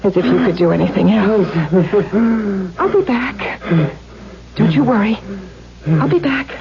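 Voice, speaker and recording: An actress playing a vengeful, coldly cruel adult daughter in a 1970s radio drama, deliberate and taunting. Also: female voice, medium pitch, lively intonation, creaky, noisy background. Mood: coolly controlled. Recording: dull 1970s radio broadcast with crowd murmur.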